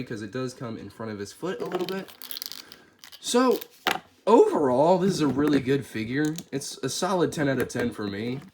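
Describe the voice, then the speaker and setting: A man with a stuffy nose and a voice cracking from a cold reviews a toy figure in a chatty, easygoing way, thinking aloud as he handles it. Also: medium pitch. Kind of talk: casual product review. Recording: clear steady background noise.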